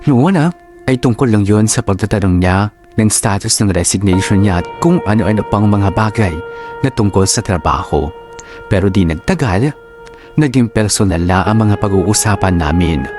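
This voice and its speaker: A man with a Filipino accent reading a story as dramatic, measured scripted narration. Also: on the low side, music in the background.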